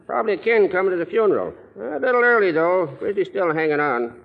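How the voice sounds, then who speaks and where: An actor in an old radio drama playing a folksy, chatty small-town station agent. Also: male voice, high-pitched, very animated, fast talker, vocal fry, full character voice. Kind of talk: 1940s radio acting. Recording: muffled 1940s radio recording.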